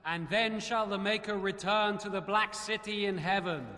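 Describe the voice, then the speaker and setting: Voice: mid-range voice, fairly even intonation, normal speed, pushed and bright, long reverb tail, natural voice. A man playing a pious chanter in a fantasy game, leading prayer in a solemn liturgical manner. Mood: reverent, solemn.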